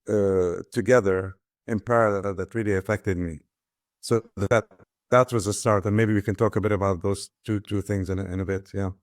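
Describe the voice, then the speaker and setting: A man's voice: on the low side, dry recording, studio quality. A middle-aged man from Ghana, a guest telling his life story in a thoughtful way, often restarting and repeating his words. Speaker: guest, business founder and author. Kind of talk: reflective personal storytelling.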